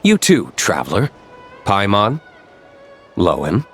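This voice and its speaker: A man's voice: fairly low pitch, huge pitch swings, slow, a bit bright, faint music behind, full character voice. A man voicing a cool, curt, reserved young nobleman in a game cutscene. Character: cool aloof male nobleman, winery owner.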